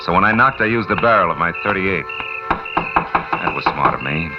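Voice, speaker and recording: An old, dull-sounding radio recording with music carries a hard-boiled detective. His voice is extremely low. He narrates and trades lines in a terse, sardonic noir style, as a tough private eye with a wisecrack ready. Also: lively intonation, a little fast. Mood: tense storytelling. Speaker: narrator and lead character.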